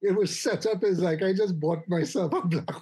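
A man tells stories and teases his co-host in a loose, playful chat, laughing often as he talks. His voice sits high for a man and has a husky edge. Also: normal speed, mellow. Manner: joking, teasing, laughs often. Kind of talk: casual chat.

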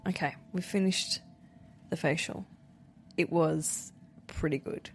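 A woman's voice: low-pitched, slow, a little hiss or hum. A woman with an Australian accent hosting a podcast, chatting casually and joking with a friend. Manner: chatty, jokey and lively. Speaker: podcast host. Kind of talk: casual chat.

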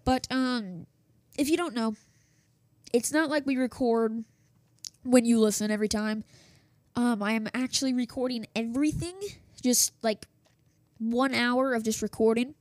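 A woman's voice: fairly high pitch, steady tone, a little slow, pauses often, a little mellow, dry recording. A woman hosting a small radio news show from her home office, chatting casually with lots of fillers and restarts while she is losing her voice. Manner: chatty, self-interrupting, many fillers and restarts. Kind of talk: casual rambling radio chat. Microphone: desktop setup with small soundboard.

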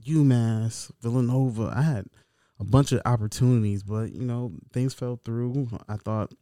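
A man's voice: medium pitch, moderate pace, no room sound. Reflective podcast guy, dry close mic. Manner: relaxed, reflective, swears freely. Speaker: podcast co-host. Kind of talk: casual chat.

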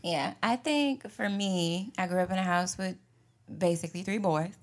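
A woman's voice: fairly low pitch, normal speed. A woman in a casual group chat, talking in a playful, reactive way.

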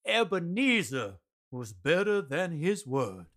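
A man's voice: fairly high pitch, sing-song, takes it slowly, a bit bright, full character voice. A man narrating a radio drama in a theatrical storytelling style. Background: music.

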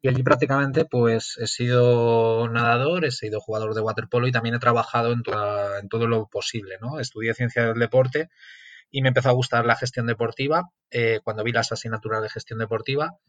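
A man's voice: mid-range voice, fairly even intonation, a little fast, fairly bright tone, no room sound. A man from central Spain, a guest lecturer answering interview questions thoughtfully, with frequent fillers and restarts. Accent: Spanish (Castilian). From Castilla-La Mancha, Spain. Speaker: guest, sports management lecturer. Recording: dull lo-fi remote audio.

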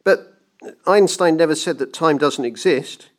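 A timid clockmaker in a dramatised story, voiced by a male narrator. His voice sits well above a plain narrating pitch as he carefully pleads and placates, anxious to keep the peace. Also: a little slow, close to an everyday voice. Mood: nervous. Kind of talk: dramatised audiobook reading.

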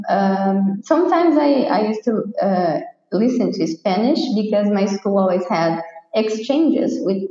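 Slow-talking Brazilian woman on an echoey call. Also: medium pitch, warm tone, muffled recording. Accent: Brazilian Portuguese. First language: Brazilian Portuguese. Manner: hesitant, many "uh" fillers, laughs shyly. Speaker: guest, English teacher. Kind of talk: interview answers.

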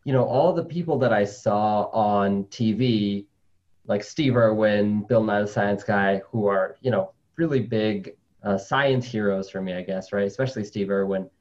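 Chatty lower-voiced man, muffled call audio. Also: moderate pace, darkish tone. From Colombia, raised in New York City. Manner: chatty, with many "like" fillers, laughs easily. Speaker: interview guest, spider scientist. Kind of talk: casual conversational interview.

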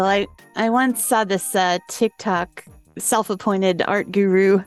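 A woman's voice: on the low side, clean tone. A woman hosting a podcast, presenting and discussing interview clips. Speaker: podcast host.

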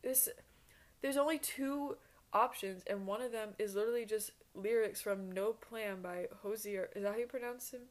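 A woman's voice: mid-range voice, moderate pace. A woman in her twenties hosting a solo podcast in a rambling, casual chat, blunt and self-deprecating, swearing freely. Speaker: podcast host.

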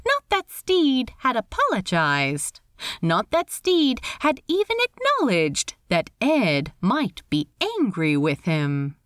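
A woman narrating a story aloud as an expressive audiobook reading. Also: on the high side, slow, dry recording, studio quality. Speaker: fan fiction reader.

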